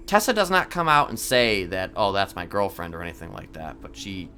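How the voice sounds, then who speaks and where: A man in an improvised in-character roleplay conversation, talking haltingly and often restarting his phrases. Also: low voice, big pitch swings, bright, forceful tone, slight background noise. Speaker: roleplay game player.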